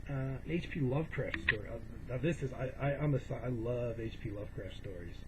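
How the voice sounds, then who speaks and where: A man's voice: mid-range voice, brisk. A man giving a casual, rambling show-and-tell as a vlogger, hesitant and often correcting himself as he meanders along. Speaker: vlogger showing a collection. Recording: dull lo-fi home recording, clear background noise.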